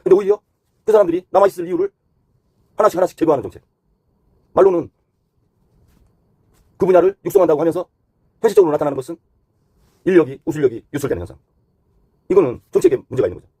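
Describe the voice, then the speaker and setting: Fast-talking husky man giving commentary. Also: a little high, very animated, dark tone, dry recording. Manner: earnest, critical, poses rhetorical questions. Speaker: host and commentator. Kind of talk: current-affairs opinion monologue.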